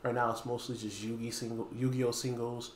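A man in his thirties talking casually to camera as a store owner making an announcement, pausing often mid-phrase. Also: mid-range voice, little pitch movement. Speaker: small game store owner and video host. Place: dim indoor room with wooden floor. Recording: echoey room, faint background noise.

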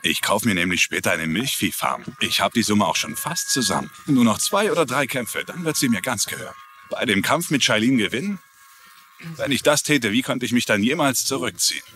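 A man's voice: medium pitch, lively intonation, brisk, bright, forceful tone, soft background music. An actor playing a humble, polite, resigned journeyman boxer in a TV crime drama dub. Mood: earnest, resigned. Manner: polite, earnest. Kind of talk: German-dubbed TV crime drama.

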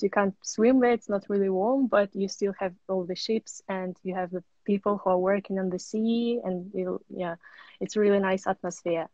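A young woman from Russia, answering interview questions in a measured, steady way over a phone video call. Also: mid-range voice, no room sound, muffled recording. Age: young adult. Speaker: guest artist. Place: indoor room at home.

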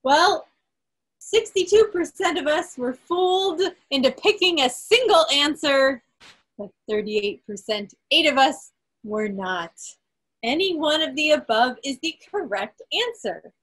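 A woman hosting a quiz on a group video call, reading out results to the group with deliberate emphasis. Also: high-pitched, animated, a bit bright, low-quality recording. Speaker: quiz host at a club meeting.

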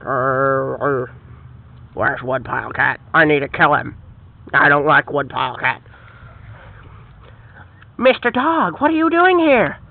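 A performer voicing a gruff, menacing dog villain who talks slowly and threateningly, on a tinny phone-like recording. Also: mid-range voice, lively intonation, voice pushed down. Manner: growly, slow, threatening.

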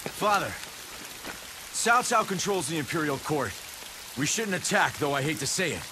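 An actor playing an indignant, earnest young son of a warlord in a video game, speaking emphatically. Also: male voice, a little high, lively intonation, normal speed, noisy background, natural voice. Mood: earnest, troubled. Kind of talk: dramatic English video game cutscene acting.

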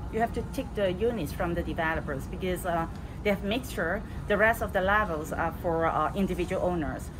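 Woman agent, matter-of-fact, lots of uh's, loud street noise behind. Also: lowish voice, a little fast. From Hong Kong. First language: Cantonese. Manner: plain, matter-of-fact, with frequent uh fillers. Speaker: property agent. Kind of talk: answering questions, touring a building. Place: outdoors and in a building lobby and rooftop. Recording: loud outdoor noise, voice a bit off the mic. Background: loud city and traffic ambience.

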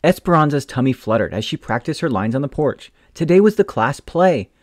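A man reads a children's picture book aloud in gentle, expressive storytelling. His voice sits at a middle pitch but moves through a wide, lively melody. The sound is dry and close, with no room echo. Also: brisk, faint music behind. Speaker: storytime reader.

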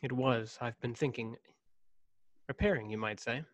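A man's voice: medium pitch, lightly acted. A man in his thirties playing a brooding, stiffly formal clone in a science-fiction radio play. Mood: guarded, formal. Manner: measured, stiffly formal diction. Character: brooding, formal young man, secret clone of noble family. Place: home room on video call. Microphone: desk condenser microphone, headset.

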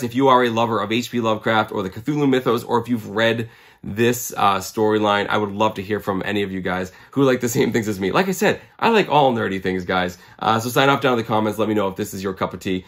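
A man in his forties with a lowish voice that is a little hoarse from a cold. He talks to camera like a hobby vlogger, conversational and keen, in a casual flow. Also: a bit bright. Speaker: comic collecting video host. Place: small indoor room lined with comics.

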